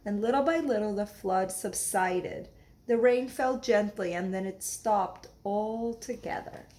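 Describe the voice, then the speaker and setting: A woman reading a children's story aloud like a teacher, expressive and deliberate, explaining things as she goes. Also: mid-range voice.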